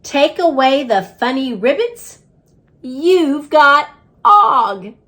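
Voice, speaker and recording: A woman puts on a cheeky storybook schoolboy who is pleased with himself. His delivery is playful and declamatory, drawn out slowly with big pitch swings. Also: very animated, a bit bright, full character voice.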